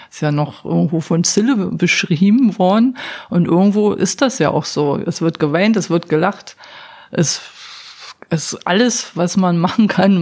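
A woman's voice: fairly low pitch, darkish tone. A woman working in a pub answers interview questions casually, hesitating and restarting often. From not from Berlin. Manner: chatty, hesitant, many restarts and fillers. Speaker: pub staff.

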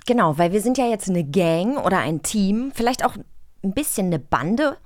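A woman chatting casually as a podcast host, with an animated, playful way of telling stories. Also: mid-range voice, normal speed, no room sound, studio quality. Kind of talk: casual chat.

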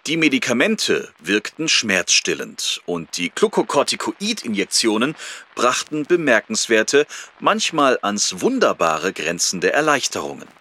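A man's voice: medium pitch, very animated, a little slow, bright, forceful tone, heavily acted. An actor playing a male surgeon who looks back candidly on his illness in a reflective, emphatic audio drama monologue. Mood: reflective, matter-of-fact. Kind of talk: first-person audio drama monologue, read from memoir.

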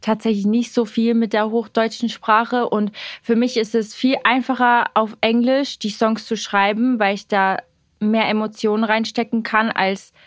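A woman's voice: fairly high pitch, little pitch movement, normal speed, no echo, studio quality. A young woman singer with a Swiss German accent, chatting casually in an interview and laughing often. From Portugal, raised; moved to Switzerland. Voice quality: bubbly, laughs often, many fillers. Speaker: singer guest.

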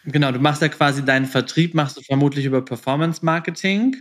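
A man's voice: fairly high pitch, fast, a bit bright. Informal male podcast host. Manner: informal, peppers speech with English start-up jargon. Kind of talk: casual interview chat.

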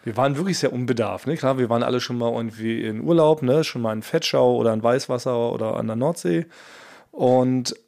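A man's voice: mid-range voice, brisk, vocal fry, darkish tone. A man from Germany co-hosting a podcast in casual comic chat, playfully exaggerating and using colloquial slang. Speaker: podcast co-host.

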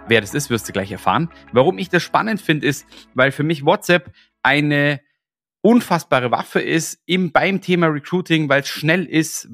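A man's voice: a little high, a bit bright. A man hosting a podcast interview, talking with enthusiasm and plenty of fillers and restarts. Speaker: podcast host.

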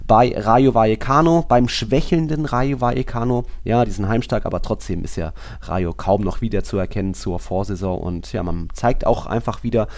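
Male podcast host, fairly low pitch, casual sports discussion, conversational. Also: moderate pace, few pauses, darkish tone.

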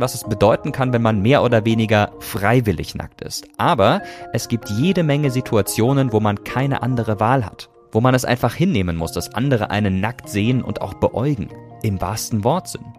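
A man's voice: mid-range voice, expressive, a little fast, a little mellow, faint music behind. A man hosting a feature programme, moving between scripted narration and interview questions. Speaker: podcast host.

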